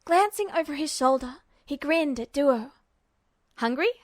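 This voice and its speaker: Female fiction narrator, fairly high voice, dry recording with no room echo. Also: moderate pace. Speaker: podfic narrator.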